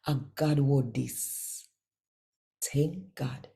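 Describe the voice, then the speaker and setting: A woman with a Jamaican accent who hosts and reads scripture expressively, addressing listeners directly and deliberately. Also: extremely low pitch, vocal fry, warm tone, soft background music. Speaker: channel host and scripture reader. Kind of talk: expressive scripture reading and channel presenting.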